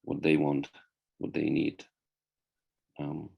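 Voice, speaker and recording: Male guest presenter from the Czech Republic, gravelly and flat in intonation, halting delivery, informal online conference talk. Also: rumbling low, dull audio. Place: online video meeting.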